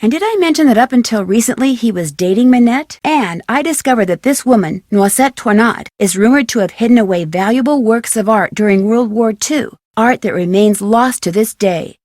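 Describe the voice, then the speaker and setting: A woman voicing a curious, friendly young female detective in a video game, speaking conversationally and clearly as she talks through a case. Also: on the high side, expressive, normal speed, few pauses, a little mellow, dry recording, clean studio sound. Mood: informative, intent.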